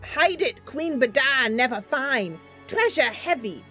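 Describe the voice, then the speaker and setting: Woman voicing an agitated, high-pitched little monster. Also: expressive, takes it slowly, pushed and bright, husky, faint music behind, heavily acted. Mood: agitated, defensive. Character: small treasure-guarding mite monster, broken speech.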